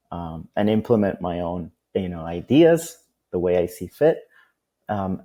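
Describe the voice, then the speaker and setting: A man's voice: sits low, dark tone. A man from Costa Rica, a guest on a business interview, talking at length in a loose, rambling way. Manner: talkative, many fillers and restarts.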